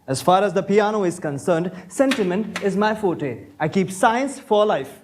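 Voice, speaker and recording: Man acting flippant young Victorian gentleman, high voice, airy and self-assured, stage comedy. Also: animated, normal speed, a little hiss or hum. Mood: lordly, flippant. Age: young adult. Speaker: student actor in a stage play.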